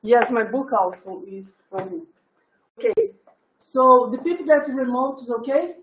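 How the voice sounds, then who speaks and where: A woman introducing a guest speaker at an event, searching for words with many pauses and fillers. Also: on the high side, dull audio, a little hiss or hum. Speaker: event host. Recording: echoey lecture room.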